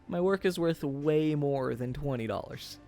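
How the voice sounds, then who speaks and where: Man acting as wistful former sweetheart, unhurried, slightly gravelly, self-deprecating, hesitant, intimate boyfriend audio roleplay. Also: fairly high pitch, a little mellow, soft background music. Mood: teasing, nostalgic. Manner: hesitant, halting, chuckles through confessions.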